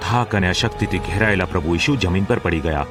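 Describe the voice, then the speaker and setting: Low-voiced male storyteller over music. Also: quick pace. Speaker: narrator. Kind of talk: scripted dramatic Bible story narration. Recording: music behind the narration.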